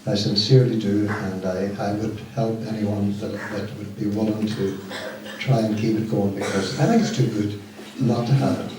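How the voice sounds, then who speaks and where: A man giving an informal, sincere thank-you speech in a halting way. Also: on the low side, steady tone. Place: large echoey indoor hall, recorded from far off. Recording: echoey hall, distant mic, noisy amateur recording.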